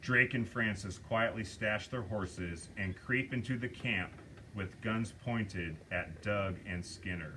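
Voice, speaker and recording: A man's voice: fairly low pitch, slow, slight background noise. A man reading stage directions aloud at a table reading and directing the scenes at a film studio.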